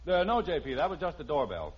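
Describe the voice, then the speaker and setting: A man's voice: a little high, big pitch swings, fast, breathy edge. An actor with an American accent playing an exasperated, blustering middle-aged suburban father in a 1950s radio sitcom. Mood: eager to please. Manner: blustering, flustered. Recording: dull, noisy old radio recording.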